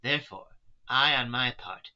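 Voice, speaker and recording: A man's voice: medium pitch, normal speed, bright, forceful tone, dull audio, voice pushed up. A man voicing an anguished but resolute wife in a novel, pleading earnestly and solemnly with her friends.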